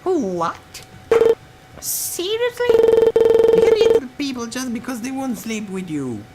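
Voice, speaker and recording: A man's voice: very high-pitched, sing-song, takes it slowly, heavy background noise, pitched above the performer's own voice, heavily acted. A man voicing a small young woman from a visual novel, blunt and incredulous but sympathetic. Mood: exasperated. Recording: guitar music behind.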